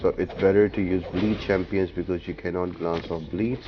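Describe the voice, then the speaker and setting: A man with a low-pitched voice narrates a video game guide. He talks casually and explains each tactic step by step, in the plain manner of a walkthrough host. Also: steady tone, moderate pace, highs cut off, background noise.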